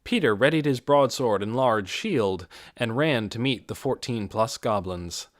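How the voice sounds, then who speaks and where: A man's voice: medium pitch, lively intonation, a little slow, no room sound, studio quality. A man reading a fantasy audiobook as dramatic scripted narration.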